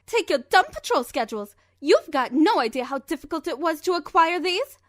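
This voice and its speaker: A woman's voice: very high-pitched, sing-song, normal speed, fairly bright tone, no echo, clean studio sound, full character voice. A woman voicing a theatrical, huffy lady cartoon pony, reading an indignant letter aloud. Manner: indignant, dramatic.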